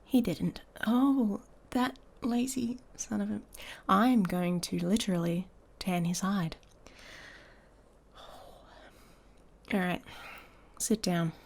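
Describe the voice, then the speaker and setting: A woman playing an irritable, sarcastic she-wolf pack heir in an intimate ASMR audio roleplay, soft and breathy, often near a whisper. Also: mid-range voice, fairly even intonation, slow pace, pauses often. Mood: exasperated, sarcastic. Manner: sardonic, exasperated, drawling with sighs.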